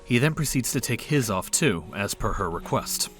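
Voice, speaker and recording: A man's voice: mid-range voice, animated, moderate pace, a bit bright, faint music behind. A man reading scripted third-person narration as the storyteller of an audio drama.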